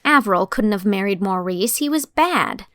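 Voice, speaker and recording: A woman's voice: medium pitch, animated, normal speed, fairly bright tone, no echo, clean studio sound, close to an everyday voice. A woman voicing an earnest, dramatic young woman of nineteen with big dreams of writing, alongside her narration. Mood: indignant. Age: young adult. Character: proud, dreamy young woman writer, passionate.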